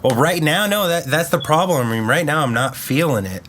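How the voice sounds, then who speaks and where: A man's voice: a little high, expressive, brisk, a bit bright, a little hiss or hum. A man with a stuffed-up, congested voice, chatting easily as a podcast guest. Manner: easygoing, short replies. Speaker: guest music producer. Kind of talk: casual chat.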